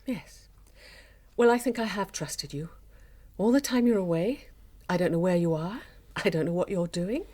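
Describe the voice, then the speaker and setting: Middle-aged British wife, hurt and suspicious, breathy husky voice, highly varied pitch, sarcastic, radio drama. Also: female voice, expressive, normal speed, long pauses, darkish tone. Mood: hurt, accusing. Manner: insistent, sarcastic.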